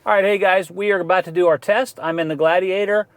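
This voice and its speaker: A middle-aged man with a slightly high voice talks casually through a gear review. He sounds informal and enthusiastic, often repeating a word for emphasis. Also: steady tone, quick pace. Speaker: host of an outdoor gear review channel. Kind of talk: casual product review vlog.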